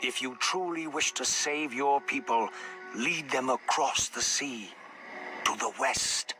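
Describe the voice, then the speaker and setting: A man's voice: medium pitch, takes it slowly, lightly acted. A man playing a mysterious, grave prophet in a video game cutscene, solemn and oratorical as he warns with urgency. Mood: grave warning. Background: loud music.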